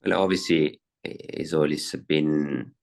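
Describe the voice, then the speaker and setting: A man's voice: a bass voice, steady tone, takes it slowly, vocal fry, a little mellow. A middle-aged man from Italy with an Italian accent, a racehorse trainer answering interview questions with many fillers and restarts.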